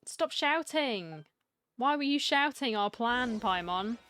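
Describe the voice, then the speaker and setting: A woman's voice: on the high side, animated, slow, sharp tone, smooth. A woman giving casual playthrough commentary as a streamer, with chatty asides and game text read aloud. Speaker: gaming streamer.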